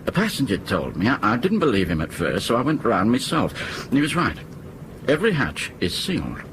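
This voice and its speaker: A man's voice: medium pitch, huge pitch swings, fairly quick, fairly bright tone, slight background noise. A man acting in a radio drama as an earnest airline crewman reporting in a hesitant, deferential manner. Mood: earnest, worried.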